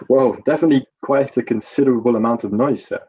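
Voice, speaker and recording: Man playing nervous husky-voiced Roman guard corporal. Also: mid-range voice, fast, highs cut off. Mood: evasive, uneasy. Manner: hesitant, deferential, stammering. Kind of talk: dramatized courtroom testimony.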